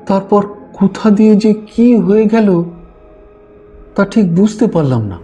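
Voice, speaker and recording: A man with a high-pitched voice tells a ghost story in a slow, suspenseful audiobook style. His tone is mellow and expressive. The recording is slightly dull, with music playing behind him. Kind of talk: dramatic scripted audiobook narration. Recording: dull lo-fi voice track over music. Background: background music.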